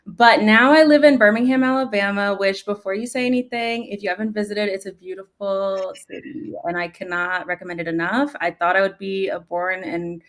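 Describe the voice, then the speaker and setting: Young woman, New York native, panel guest; casual storyteller, mid-pitched. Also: fairly even intonation, fairly quick, few pauses. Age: young adult. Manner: warm, chatty storytelling with frequent "like" and "um". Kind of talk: conversational panel talk.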